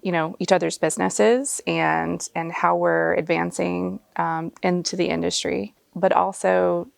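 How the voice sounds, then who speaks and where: A woman from a small town in Alabama talks in an interview. Her voice is low and heavily gravelly, and it is recorded dry and close. She speaks thoughtfully and pauses often. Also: level tone, no room sound. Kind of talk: interview to camera.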